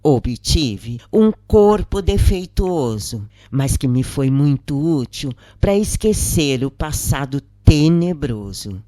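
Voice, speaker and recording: A narrator reads a scripted first-person testimony in a solemn, measured manner with deliberate pauses. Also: mid-range voice, very animated, mellow, dry recording, clean studio sound.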